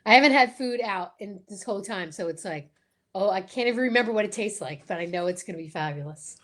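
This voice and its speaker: A woman co-hosting a live show with excited, casual banter, gushing and theatrical in manner. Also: mid-range voice, quick pace. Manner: theatrical, gushing, sing-song exclamations.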